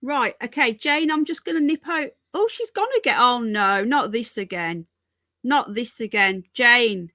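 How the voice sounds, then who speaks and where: A woman's voice: a little high, lively intonation, unhurried, bright, forceful tone, no room sound, clean studio sound. A woman hosting a casual live news stream from home, chatting to viewers, repeating herself and calling them folks and darling. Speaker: livestream host.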